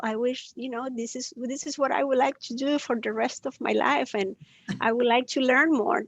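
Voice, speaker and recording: Costa Rican woman, Spanish native, middle-aged guest on a video call; soft-spoken, pausing, pitched a touch high. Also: no echo. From Costa Rica. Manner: warm, gentle, many um pauses. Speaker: guest, compassion trainer. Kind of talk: live video interview.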